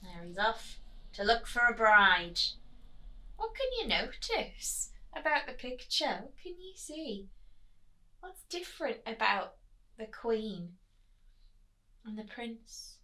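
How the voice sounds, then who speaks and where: A woman teacher reading expressively to children at storytime, pausing to prompt them with questions. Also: on the high side, expressive, pushed and bright.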